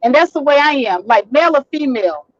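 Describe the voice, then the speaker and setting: A high-pitched woman holds forth on a live stream from behind the wheel, blunt and animated and cursing freely, her voice wearing hoarse. The sound is dry and a touch dull. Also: fast talker, no echo. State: getting hoarse. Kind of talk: casual live stream commentary. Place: in a vehicle, driving.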